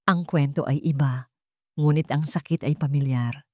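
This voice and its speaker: A woman's voice: sits low, moderate pace, dark tone, no echo, clean studio sound. A Filipino woman reading a scripted romantic drama story in an earnest, sentimental storyteller's manner. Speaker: storyteller, narrator of an online story channel.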